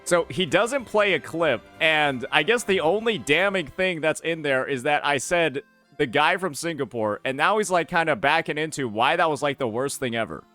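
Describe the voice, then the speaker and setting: A man's voice: fairly high pitch, animated, moderate pace, bright, forceful tone, soft background music. A young man doing reaction commentary in an incredulous, mocking tone. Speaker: commentary youtuber.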